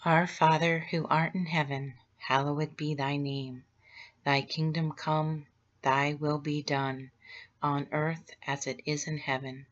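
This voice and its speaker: Low, slow woman reciting prayers. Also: muffled recording. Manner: slow, steady and reverent. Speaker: prayer leader.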